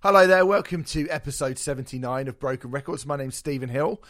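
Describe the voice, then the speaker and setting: A man hosting a casual music podcast, jokey, sweary and self-deprecating, his voice a little hoarse from a sore throat. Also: mid-range voice, fairly quick, background noise. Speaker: podcast host.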